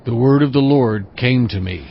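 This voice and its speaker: An actor playing a solemn, sorrowful prophet who deliberately recounts God's word in a dramatized audio Bible. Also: male voice, medium pitch, vocal fry, slight background noise, lightly acted. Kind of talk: dramatized audio Bible, first-person scripture narration. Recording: dull, muffled audio with music. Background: cinematic music.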